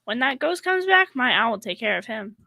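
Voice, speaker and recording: Radio comedy ditz played by a woman: young secretary, high-pitched and sharp, sweetly naive. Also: big pitch swings, brisk, creaky, no room sound, full character voice. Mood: gushing, naive. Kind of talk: 1940s radio comedy acting.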